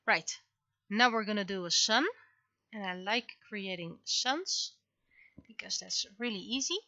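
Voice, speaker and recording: Bright-voiced woman on a casual art stream. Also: mid-range voice, measured pace, dry recording, dull audio. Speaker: livestreaming webcomic artist. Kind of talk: casual livestream commentary while drawing.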